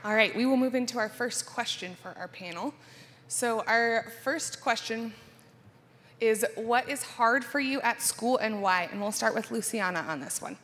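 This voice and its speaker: A woman's voice: a little high, normal speed, fairly bright tone. A woman moderating a panel, putting questions and giving short, simple instructions to the speakers. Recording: reverberant hall with faint noise.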